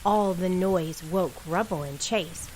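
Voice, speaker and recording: A woman reading a children's storybook aloud as narrator, in a deliberate storytelling manner. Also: lowish voice, darkish tone, a little hiss or hum, low-quality recording. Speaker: storybook reader.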